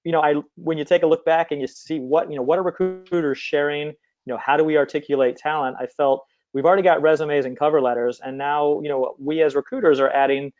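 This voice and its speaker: A man's voice: a little high, fairly even intonation, fast talker, darkish tone, faint music behind. A man speaking conversationally as an interview guest, explaining things over slightly muffled call audio. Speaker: guest, company CEO and former recruiter.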